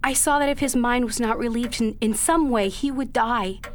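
A woman acts as a dutiful young daughter in a period radio play, burdened by a long deceit. She speaks in formal, old-fashioned phrases, earnest and halting with emotion. A faint noise sits behind her. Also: fairly high pitch, natural voice. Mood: anguished. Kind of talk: period radio drama acting.

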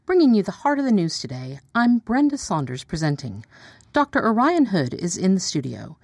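A woman playing a brisk TV news presenter in a radio drama, formal and pointed in her questioning. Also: mid-range voice, very animated, vocal fry, a little mellow, dry recording, clean studio sound. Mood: formal, poised. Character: brisk female TV news presenter turning accusatory interviewer. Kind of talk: radio drama acting, formal broadcast delivery.

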